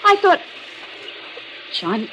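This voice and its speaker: An actress playing a frightened, pleading wife, halting and breathless in 1940s radio melodrama style. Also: female voice, pushed and bright. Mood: terrified. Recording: noisy vintage radio recording.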